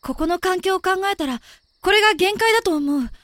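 A woman's voice: very high-pitched, expressive, fairly quick, a bit bright, no echo, clean studio sound. A woman playing an anxious but capable young medical student in a Japanese amateur voice drama. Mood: calm, serious.

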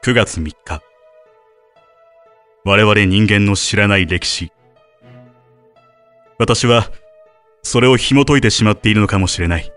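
A man acting as a grave researcher and father, narrating his private journal in an audio drama. His voice sits on the low side, solemn and measured, with music playing behind it. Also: a bit bright. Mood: grave, uneasy. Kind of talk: dramatic narration of diary entries.

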